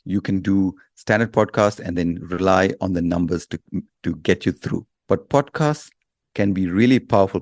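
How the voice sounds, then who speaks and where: A man with a fairly low voice explains his views persuasively. He stresses points by repeating and listing them, and the recording is dry and close. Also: a little mellow, no room sound. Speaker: guest podcasting expert.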